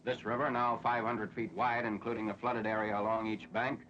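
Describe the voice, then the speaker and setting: A man's voice: on the low side, flat delivery, moderate pace, a bit bright, slight background noise. Dull vintage recording of an American newsreel man. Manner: formal, matter-of-fact reporting. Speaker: military newsreel narrator. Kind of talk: 1940s newsreel scripted narration. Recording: dull, lo-fi 1940s film soundtrack.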